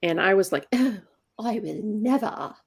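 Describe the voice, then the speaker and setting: A woman's voice: medium pitch, expressive, unhurried, gravelly, breathy edge. A woman in her sixties, a comics inker, talking casually in an interview and often restarting her words. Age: 60s. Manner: chatty, repeats words when restarting.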